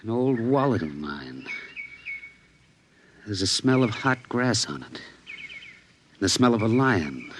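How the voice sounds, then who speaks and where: Animated, stern 1950s radio father. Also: on the low side, takes it slowly, pauses often, background noise. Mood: uneasy. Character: stern, worried father of two spoiled children. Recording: vintage 1950s radio broadcast.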